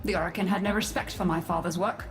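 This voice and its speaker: An actress playing a bitter, dreamy, infested matriarch in a video game, weary and scornful, with a brooding, haughty delivery. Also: female voice, lowish voice, steady tone, fast, close to an everyday voice. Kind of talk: theatrical video game acting. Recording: echoey, with music underneath.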